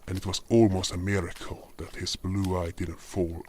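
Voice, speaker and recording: A man's voice: sits low, level tone, moderate pace, a little mellow, slight background noise. A man narrating a scripted first-person fantasy story as its storyteller and voice actor. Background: music.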